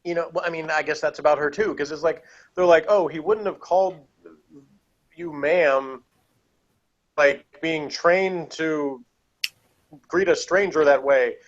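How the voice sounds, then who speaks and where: A man's voice: fairly high pitch, unhurried, pauses often. A man talking casually as a reviewer in an informal discussion. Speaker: podcast reviewer. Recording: slightly dull, muffled audio.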